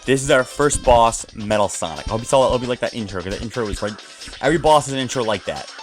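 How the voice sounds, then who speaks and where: A man's voice: medium pitch, lively intonation, fast talker, faint music behind. A man giving casual, informal and opinionated let's play commentary as the host of a gaming video.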